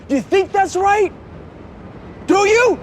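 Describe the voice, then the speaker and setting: An aggrieved, very high-pitched young son. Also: male voice, lively intonation, normal speed. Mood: indignant. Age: young adult. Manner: pleading, aggrieved. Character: resentful adult son living in his father's shadow. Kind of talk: naturalistic film drama dialogue. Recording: film audio with faint background noise.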